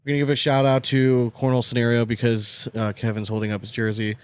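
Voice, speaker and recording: A man's voice: medium pitch, level tone, fast, clean tone, no echo. A man hosting a podcast with casual, bro-ish banter and some swearing. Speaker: podcast host.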